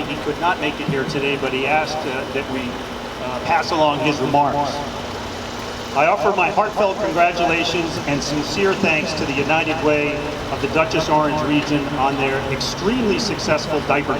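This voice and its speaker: A man's voice: a little high, recorded from a distance, very noisy. A man, the board chair, reading out prepared remarks at an outdoor charity event. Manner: formal, reads prepared remarks. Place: outdoor parking lot.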